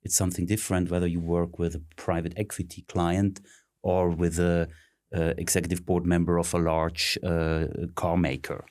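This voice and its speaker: A middle-aged man with a very low voice talks through his career. He pauses often, filling gaps with ums and uhs. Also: monotone, a little mellow. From Switzerland. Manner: halting, many ums and uhs. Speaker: interview guest, search consultant. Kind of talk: conversational interview.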